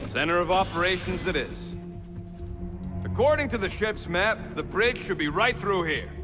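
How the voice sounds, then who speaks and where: An actor playing a brash, sardonic, streetwise raccoon mercenary in a video game. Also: male voice, sits high, animated, moderate pace, over music. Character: snarky streetwise small raccoon mercenary and hacker. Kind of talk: video game character acting.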